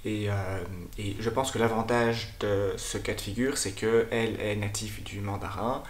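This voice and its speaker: Low-voiced man teacher, echoey room. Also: steady tone, moderate pace, a little hiss or hum. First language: French. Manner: thoughtful, explains at length with frequent hesitations. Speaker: language teacher and channel host. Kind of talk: casual explanatory talk to camera.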